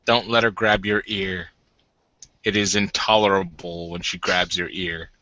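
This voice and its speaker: Man playing a slow, sardonic werewolf warrior. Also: sits low, flat delivery, bright, forceful tone, dry recording, lo-fi sound. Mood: dry, teasing.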